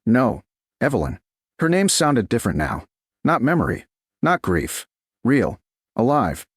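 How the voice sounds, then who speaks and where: A man narrating a romantic story as an audiobook, with dramatic, deliberate short phrases. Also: mid-range voice, very animated, husky, no room sound, studio quality.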